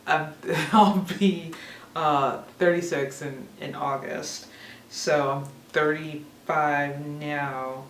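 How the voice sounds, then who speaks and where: A woman's voice: sits low, fairly even intonation, drawn-out words. A woman in her thirties chatting casually to camera as a vlogger, rambling and laughing at herself. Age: 30s. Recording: echoey room, mic a bit far off, faint hiss.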